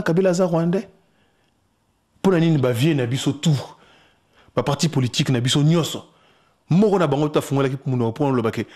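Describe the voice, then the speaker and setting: Congolese guy debating politics, higher voice, super lively, keeps asking pointed questions, mixes languages.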